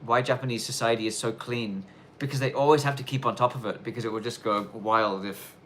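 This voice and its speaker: A man in his thirties with a blended Australian and London accent, with a trace of Irish twang, talks through viewer questions on a casual live stream. He rambles and corrects himself mid-sentence, yet he works to keep every word clear, in a voice of ordinary pitch. Faint background noise sits under him. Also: steady tone, fairly bright tone. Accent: mixed Australian and London, with some Irish twang. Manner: rambling, self-correcting, deliberately clear delivery. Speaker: livestream host answering viewer questions.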